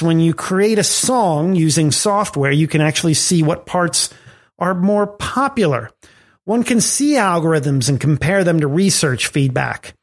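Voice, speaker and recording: Wry man reading podcast commentary. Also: high voice. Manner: wry, reading from a script with asides. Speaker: podcast host. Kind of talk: scripted commentary on tech and music.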